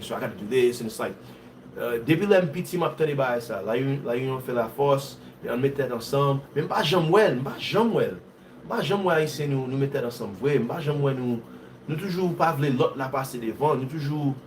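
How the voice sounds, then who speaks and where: A man from Haiti with a Haitian accent, hosting a casual livestream, talking in an animated way and reading viewer comments. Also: mid-range voice, distant mic, a little hiss or hum. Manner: switches between English and Haitian Creole, animated and conversational.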